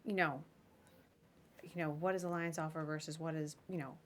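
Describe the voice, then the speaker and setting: A woman hosting a casual live podcast interview, talking in an informal, halting and self-deprecating way. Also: sits low, steady tone. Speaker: podcast host interviewing a guest.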